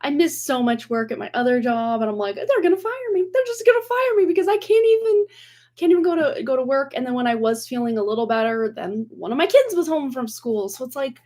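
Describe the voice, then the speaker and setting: Woman, forties, slightly high voice, slightly worn voice, chatty, rambling livestream host. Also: very animated, a little fast, a little mellow. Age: 40s. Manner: chatty, rambling, laughs often, many restarts. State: recovering from lost voice, slightly worn. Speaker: tarot reader hosting a livestream. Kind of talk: casual livestream chat.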